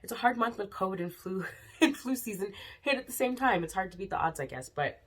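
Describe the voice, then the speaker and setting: A young woman with a slightly raspy voice from a cold, chatting through a casual nail polish haul vlog with lots of ums. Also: medium pitch, animated, fairly quick. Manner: chatty, frequent ums, bubbly about products.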